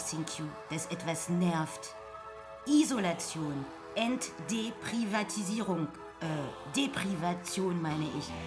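Casting: woman as bossy revolutionary ideologue, mid-pitched, lecturing in slogans, radio drama with music underneath. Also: natural voice. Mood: lecturing, irritated. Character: bossy young female guerrilla revolutionary, preachy ideologue. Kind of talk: German radio play acting. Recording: music behind.

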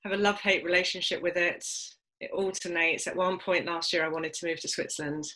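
Low-voiced bright London woman in her forties. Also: steady tone, brisk, rough recording. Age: 40s. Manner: open and reflective, with many fillers. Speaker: interviewee. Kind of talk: casual conversation.

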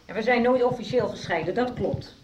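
A woman's voice: on the high side, a little fast, a little mellow, echoey room, recorded from a distance, lo-fi sound, a little hiss or hum, natural voice. A middle-aged actress playing a wary separated mother who answers defensively. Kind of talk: 1990s radio soap acting.

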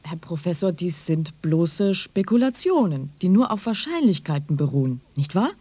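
A puzzled young visitor from the past in a radio drama. His voice is mellow and recorded very dry. He questions politely, with a hesitant, deferential manner. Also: high voice, big pitch swings, normal speed, breathy edge, no room sound, exaggerated voice. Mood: skeptical, flustered. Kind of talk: German radio drama acting, questioning dialogue.

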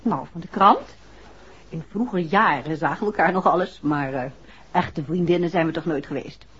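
Chatty, slightly husky detective's wife. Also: female voice, sits low, moderate pace, fairly bright tone, full character voice. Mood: curious. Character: chatty, curious middle-aged wife of a detective. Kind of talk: 1960s Dutch radio play acting. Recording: muffled old 1960s radio recording.

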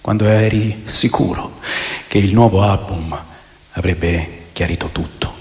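An actor playing a wistful, intense rock fan narrating a radio drama monologue in a theatrical way, from hushed to emphatic. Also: male voice, sits low, unhurried. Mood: reverent. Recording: long reverb, clear music bed.

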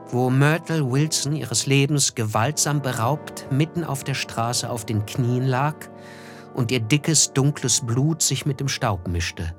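A man narrating a radio play in a measured, reflective way. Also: mid-range voice. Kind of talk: scripted literary narration.

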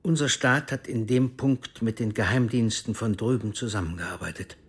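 An actor in a radio drama playing a cool, secretive intelligence officer who speaks formally and with measured control. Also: male voice, on the low side, breathy edge. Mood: grave, measured. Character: cool secretive East German intelligence officer, frankly world-weary.

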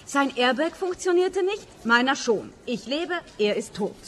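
A woman's voice: high voice, sing-song, a little slow, big-room sound, slight background noise. An actress playing an indignant, shaken woman, a detective's client in a comic radio play, blunt, earnest and dramatic.

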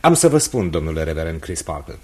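An actor playing an earnest, respectful young pupil in a radio drama, husky and formally impassioned. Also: male voice, rumbling low, big pitch swings, darkish tone, full character voice. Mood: bitter, earnest.

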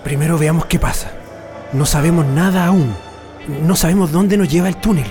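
Actor as high-voiced insistent prisoner lookout. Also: male voice, normal speed, close to an everyday voice. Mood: cautious, hushed. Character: cautious, insistent male prisoner lookout in escape plot. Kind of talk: radio drama acting. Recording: music behind the voice.

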